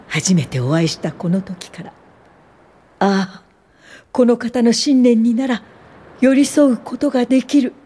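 Mellow, earnest woman social worker. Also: mid-range voice, breathy edge. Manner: polite, thoughtful, measured delivery. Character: earnest, principled woman social worker turned educator, looking back. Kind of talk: radio drama dialogue and reflective first-person narration.

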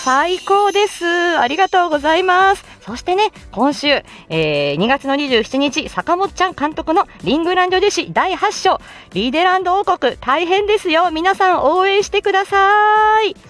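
A woman's voice: extremely high pitch, animated, moderate pace, few pauses, fairly bright tone, soft background music. A woman hosting a chatty morning livestream, speaking politely in a bubbly, thankful manner. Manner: polite, bubbly, effusively thankful, laughs often. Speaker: host of a live morning audio stream, voice actor. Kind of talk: chatty livestream talk to listeners.

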